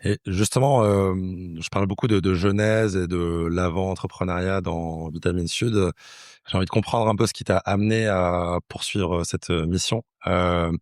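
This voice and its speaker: A man's voice: sits low, fairly even intonation, normal speed, no room sound, studio quality. A man hosting a podcast, asking questions in an informal, chatty way with hesitant fillers. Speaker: podcast host. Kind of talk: casual interview questions.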